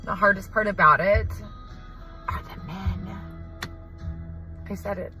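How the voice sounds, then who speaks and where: A woman's voice: mid-range voice, takes it slowly, sharp tone, faint music behind. A woman telling a dating story to camera in a blunt, matter-of-fact way. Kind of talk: storytime vlog.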